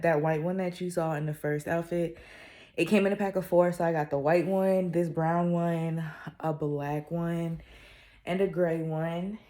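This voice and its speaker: A woman's voice: fairly low pitch, a little mellow. A young woman vlogging in casual chat, sounding nasal from a head cold and talking in a chatty, upbeat way full of fillers.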